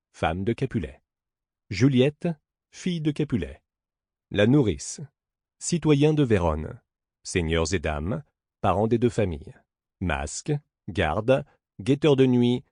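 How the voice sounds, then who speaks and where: A man narrating a classic play as an audiobook, in a measured, declamatory theatrical reading. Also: sits low, darkish tone, no room sound, clean studio sound.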